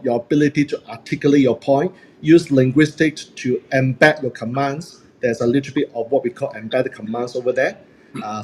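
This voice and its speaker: A man's voice: mid-range voice, slight background noise, low-quality recording. A man whose first language is Cantonese, hosting a group chat about speaking on stage, upbeat and laughing at himself. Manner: upbeat, self-deprecating, laughs often. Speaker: host and speaking coach.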